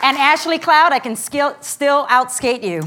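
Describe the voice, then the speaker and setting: A woman in her forties with a loud voice, giving a lively, joking inspirational talk to a women's morning gathering. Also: sits high, a little slow, a crowd in the background, background noise. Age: 40s. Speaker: guest speaker.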